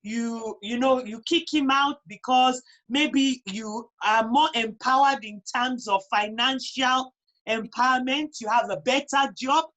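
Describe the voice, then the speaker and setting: Female interview guest, Nigerian accent, high voice, conversational yet emphatic delivery. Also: a little slow, a bit bright. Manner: emphatic, repeats phrases for emphasis. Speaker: guest on a talk show. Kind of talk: conversational interview, personal advice.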